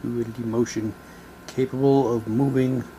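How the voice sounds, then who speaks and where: A man giving halting, low-key, wry commentary as he casually unboxes items and reads captions aloud. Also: little pitch movement, warm tone, a little hiss or hum, low-quality recording. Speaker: unboxing video host.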